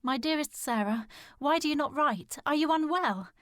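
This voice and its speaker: A woman playing a distressed, determined young gentlewoman in a period audio drama, speaking formally and earnestly. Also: high voice, animated, moderate pace. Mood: sad, hushed reading. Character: distressed, determined young gentlewoman searching for her missing sister.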